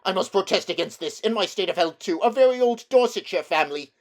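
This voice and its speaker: A shrill, cowardly old gentleman from a storybook. The voice is very high and trembling, wailing and pleading in pompous, self-pitying protest. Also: lively intonation, fast talker. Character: cowardly, whining old gentleman magician uncle. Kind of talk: storybook character reading.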